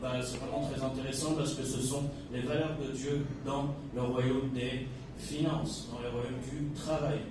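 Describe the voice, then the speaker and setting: A man's voice: mid-range voice, little pitch movement, measured pace. A man from Kabylie in Algeria giving an informal sermon to a congregation, chatty, with joking asides and many hesitations. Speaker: guest preacher. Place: church hall. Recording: echoey hall from far off, noisy.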